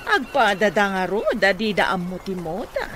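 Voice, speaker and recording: Over clear background noise comes a doting, scheming mother played by a woman in a radio comedy drama. Her delivery is emphatic and coaxing, and her pitch moves in animated swings. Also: normal speed. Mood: indignant. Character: doting, scheming mother pushing her daughter toward the boss.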